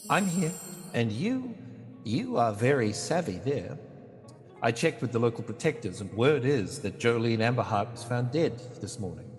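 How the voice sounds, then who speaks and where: A man's voice: mid-range voice, sing-song, normal speed, in a large hall, soft background music, close to an everyday voice. A man playing a burly, dry-witted elf mage and loyal sidekick in a fantasy audio drama. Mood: wry, reporting. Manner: wry, dry.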